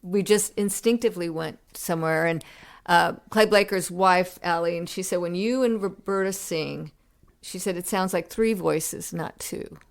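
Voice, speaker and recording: Lowish gravelly american woman, middle-aged musician chatting in an interview, lots of ums and restarts. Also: moderate pace. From United States. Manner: reflective storytelling with many restarts and fillers. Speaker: musician guest. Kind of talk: casual interview conversation.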